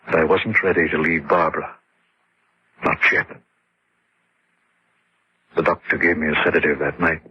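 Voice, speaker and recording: A man's voice: extremely low pitch, husky. An actor playing an exhausted, grieving man who narrates his haunting in a confessional way in an old radio horror drama. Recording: dull lo-fi old radio recording.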